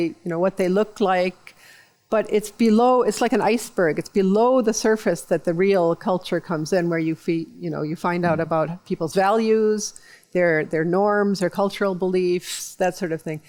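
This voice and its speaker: A middle-aged woman, a guest on a talk show, answering questions in a measured, thoughtful way. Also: mid-range voice, a little mellow. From United States. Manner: measured, thoughtful, with fillers and a friendly tone. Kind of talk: relaxed interview answers.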